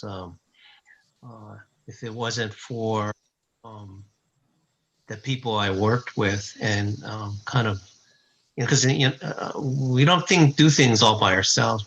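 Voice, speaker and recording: A man on an arts panel giving advice, reflective and pausing often with uhs and ums. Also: lowish voice, fairly bright tone, muffled recording. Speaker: artist panelist. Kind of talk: panel answer.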